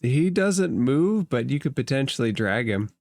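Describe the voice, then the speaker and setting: A man's voice: mid-range voice, very animated, moderate pace, gravelly, darkish tone, dry recording. Dry-sounding man running a tabletop game. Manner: conversational, explanatory. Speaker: game master. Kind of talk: casual tabletop game narration.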